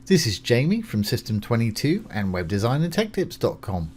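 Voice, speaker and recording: Man presenting a casual software tutorial. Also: mid-range voice, very animated, moderate pace, a little hiss or hum. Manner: informal, reassuring, explains each step as it is done. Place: voice-over of a screen recording.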